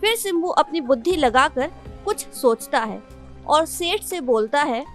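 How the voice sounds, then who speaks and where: A woman narrates a children's cartoon story in a high-pitched voice. Music plays behind her as she reads the scripted tale. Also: animated, measured pace. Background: background music.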